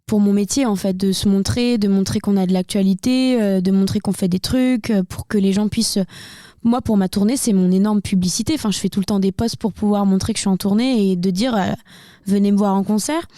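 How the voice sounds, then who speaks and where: A young woman with a slight Burgundy lilt, talking candidly and at length as an interview guest. Her voice is mellow and dark-toned, recorded close and dry with no room sound. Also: medium pitch, brisk, no room sound. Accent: slight Burgundy French. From Burgundy, France. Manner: candid, talkative, many fillers and restarts. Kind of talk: casual interview chat.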